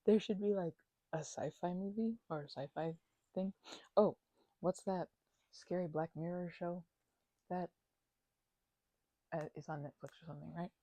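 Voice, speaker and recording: A woman playing a chatty, supportive, slightly weary housemate in an intimate ASMR roleplay, rambling and hesitant in a soft, playful, conversational way. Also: on the low side, a little slow, pauses often, dry recording. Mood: animated, musing. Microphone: close microphone, dry.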